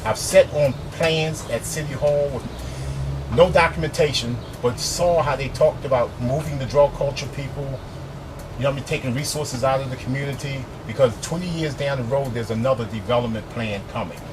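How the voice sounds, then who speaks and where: A man talks a group through a bus tour, folksy and emphatic, his soft voice set at an ordinary pitch. The recording comes from a small camera inside a moving school bus with the windows down, and loud traffic and engine noise runs under everything. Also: a little fast. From East Baltimore, USA. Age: 50s. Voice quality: soft voice, by his own account. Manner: folksy, repeats "okay?" and "y'all", emphatic and gesturing. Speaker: community activist and tour guide. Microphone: small handheld camera, with a little mic.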